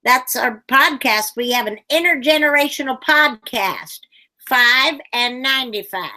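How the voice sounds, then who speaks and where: Kobieta grająca gadatliwą 95-letnią babcię. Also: female voice, high voice, unhurried, sharp tone, exaggerated voice. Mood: chatty. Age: older. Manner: rambling, folksy, sighing. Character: chatty 95-year-old granny puppet, sleepy.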